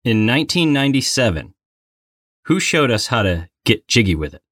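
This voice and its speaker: A man's voice: medium pitch, big pitch swings, moderate pace, a bit bright, no echo, clean studio sound. A man co-hosting a casual trivia game, bantering playfully and announcing like a mock game-show host. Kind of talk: casual bantering quiz chat.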